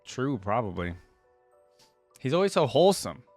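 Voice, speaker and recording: A man's voice: medium pitch, big pitch swings, normal speed, a little mellow, no room sound. Reaction host: man, medium register, close dry sound, casual musing with short interjections. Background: faint music.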